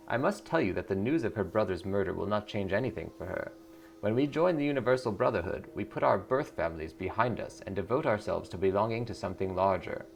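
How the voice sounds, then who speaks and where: A man's voice: on the low side, vocal fry. A man voicing a pious, fatherly cult preacher with a solemn sermonizing manner in video game dialogue. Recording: music behind the voice.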